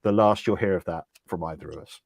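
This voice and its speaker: A middle-aged man with a very low voice co-hosts a whisky tasting over a video call. His humour is dry and wry. The sound is close and dead, with no room around it. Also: quick pace, gravelly, husky, dry recording. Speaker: co-host, drinks ambassador. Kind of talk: casual livestream chat.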